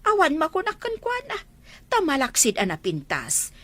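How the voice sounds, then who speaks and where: A woman as a pleading, animated old Ilocano mother. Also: high-pitched, normal speed, dry recording, exaggerated voice. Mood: insistent, pleading. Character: pleading, insistent old mother wanting grandchildren. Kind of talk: Ilocano radio-style drama acting.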